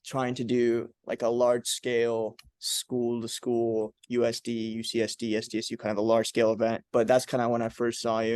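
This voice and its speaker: Young host from Uzbekistan, dry sound. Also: male voice, medium pitch, no echo. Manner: relaxed, filler-heavy, with many "like"s. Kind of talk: casual interview chat.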